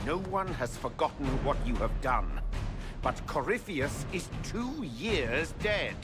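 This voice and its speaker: A man's voice: on the high side, sing-song, takes it slowly. An actor playing an indignant, accusatory male noble in a game cutscene, with a pointed, haughty manner. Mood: accusing, exasperated. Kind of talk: dramatic video game cutscene acting. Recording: music behind the voice.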